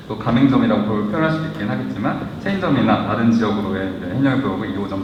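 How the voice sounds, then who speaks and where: Guy moderating a panel, lowish voice, far from the mic, big echoey room. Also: muffled recording, noisy background. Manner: polite, long winding questions. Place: event hall. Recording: distant mic in an echoey hall.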